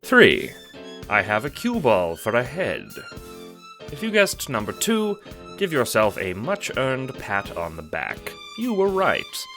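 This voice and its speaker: A man's voice: mid-range voice, wildly swooping pitch, slow pace, fairly bright tone, faint music behind, heavily acted. A man playing a genteel, smug, theatrical guardian villain in an audio drama, speaking in a pompous, wordy and affectedly courteous way. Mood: smugly courteous. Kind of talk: audio drama monologue addressed to the listener.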